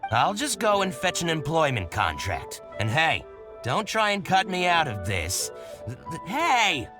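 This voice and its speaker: A man's voice: a little high, wildly swooping pitch. A fussy, shrewd boutique merchant in a fantasy game, his voice swinging widely up and down as he haggles and frets. Light music sits faintly underneath. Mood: wary. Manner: haggling, flustered, quick to bluster. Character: fussy middle-aged male boutique merchant, shrewd and excitable. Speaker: shopkeeper. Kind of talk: video game voice acting.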